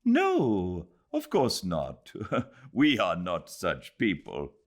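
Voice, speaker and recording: A man's voice: low voice, huge pitch swings, extremely slow, full character voice. A man voicing a hospitable, emphatic husband in an audiobook. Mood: insistent, amused. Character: genial, insistent Indian husband, hospitable gentleman.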